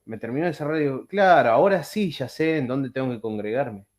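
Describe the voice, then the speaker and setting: A man talks through a video call, voice sitting at an ordinary middle pitch. He rambles in a casual, halting way, full of fillers and restarts. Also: expressive, a little mellow. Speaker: podcast host. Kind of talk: casual chat.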